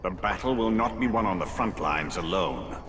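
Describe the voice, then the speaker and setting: An actor playing a stern, haughty, ancient wraith lord in a video game cutscene, solemn and formal, with a deep, creaky voice. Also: male voice, animated, normal speed, fairly bright tone. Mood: grave. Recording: noisy game ambience behind.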